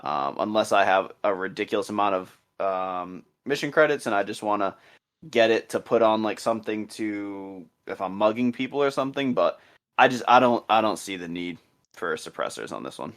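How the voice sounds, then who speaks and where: A man with a fairly low voice co-hosts a relaxed gaming podcast. He is easygoing and agreeable, and he walks through figures one step at a time in a steady, conversational way. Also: normal speed. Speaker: podcast co-host. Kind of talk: casual podcast chat about a game.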